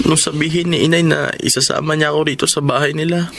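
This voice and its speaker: A man's voice: a little high, level tone, quick pace, gravelly, a bit bright, faint music behind. A Filipino man playing a meek, mistreated young son in a radio drama, timid, polite and hesitant. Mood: sorrowful.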